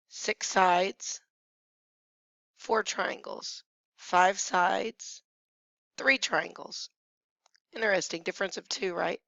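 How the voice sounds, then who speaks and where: A woman math teacher who has nearly lost her voice, hoarse and at times whispering, patiently talking through each step of a screencast lesson. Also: mid-range voice, fairly bright tone, no room sound. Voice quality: hoarse, gravelly, sometimes dropping to a whisper. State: losing her voice. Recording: dry, dull lo-fi screencast audio.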